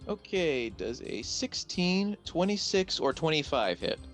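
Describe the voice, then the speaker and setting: A man's voice: a little high, big pitch swings, measured pace, fairly bright tone. Male game master over music. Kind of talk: running a tabletop roleplaying game, casual.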